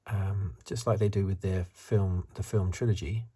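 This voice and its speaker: A man giving soft-spoken, half-whispered ASMR commentary in a husky, breathy voice, musing and rambling as he goes. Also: low voice, fairly even intonation, normal speed. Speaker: ASMR reviewer.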